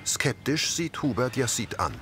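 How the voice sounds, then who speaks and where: A man's voice: mid-range voice, lively intonation, a little slow, background noise. A man reading scripted audio description for a TV programme. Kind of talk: scripted narration.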